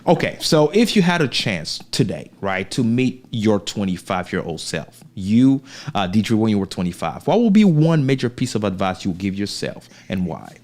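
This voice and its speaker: Host, male, lowish voice, eager and encouraging, keeps tossing in tag questions. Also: very animated, normal speed, a little mellow. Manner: enthusiastic, frequent tag questions. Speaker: podcast host. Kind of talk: upbeat interview hosting.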